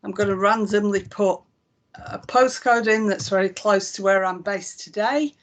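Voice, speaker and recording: A woman's voice: mid-range voice, moderate pace. Lancashire woman demoing a website. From Northwest England. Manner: conversational, walking through each click with frequent ums. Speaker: chief executive demonstrating a website. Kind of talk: live software demonstration.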